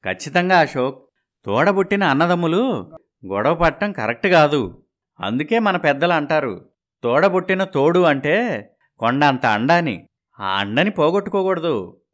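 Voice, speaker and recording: Man acting as village farmer, cartoon moral tale, earnest explanatory manner, higher male pitch. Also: big pitch swings, a little slow, long pauses, fairly bright tone. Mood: earnest advising. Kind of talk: scripted cartoon dialogue.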